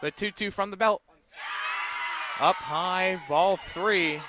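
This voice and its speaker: A man doing live radio play-by-play of a softball game, calling the action in short, clipped phrases. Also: high-pitched, slow pace, a crowd in the background, noisy background. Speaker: play-by-play announcer.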